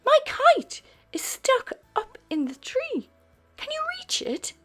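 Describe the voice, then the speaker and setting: Woman voicing an excitable, high-pitched little boy puppy. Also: slow, faint music behind, heavily acted. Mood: eager. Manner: exclaiming, childlike, eager. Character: excitable young male puppy, cheerful and polite.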